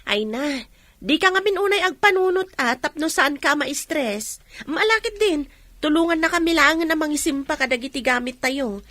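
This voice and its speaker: Woman acting bright, high Ilocano young woman. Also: expressive, moderate pace, no room sound. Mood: friendly. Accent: Ilocano Filipino. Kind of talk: Ilocano radio drama acting.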